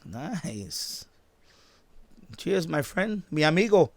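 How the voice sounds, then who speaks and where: A man's voice: fairly high pitch, very animated, extremely slow, creaky, dry recording. A fifty-year-old man from the Bronx with a New York accent, chatting casually and rambling informally on a live stream, often repeating his phrases. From born in Puerto Rico, grew up in the Bronx, New York. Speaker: live stream host, shortwave radio hobbyist. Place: basement at home.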